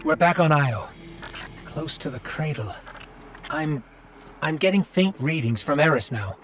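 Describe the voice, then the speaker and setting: A man's voice: fairly high pitch, sing-song, a little slow, gravelly, over music, heavily acted. A man playing an anxious, earnest little robot companion in a video game, with a worried, urgent way of talking.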